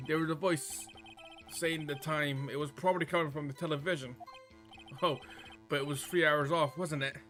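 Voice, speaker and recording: A man's voice: a little high, fairly even intonation, moderate pace, fairly bright tone, lightly acted. A man voicing a nervous, evasive male witness in a visual novel game, hesitant and stammering as he over-explains. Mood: flustered. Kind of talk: visual novel game dialogue read aloud. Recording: game music behind the voice.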